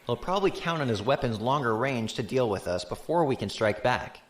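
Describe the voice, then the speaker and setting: An actor playing a candid, informal tactical analyst in a science fiction audio drama, explaining things casually. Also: male voice, medium pitch, lively intonation, a little fast, lightly acted. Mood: candid, explanatory. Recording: echoey room, faint background ambience.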